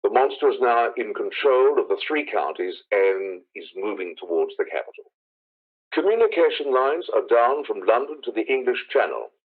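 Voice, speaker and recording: A man playing a solemn radio news announcer delivering a measured, dramatic emergency bulletin in 1930s radio-play style. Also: mid-range voice, big pitch swings, breathy edge. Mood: grave. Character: grave radio news announcer reporting a catastrophic invasion. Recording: tinny narrow-band old radio sound.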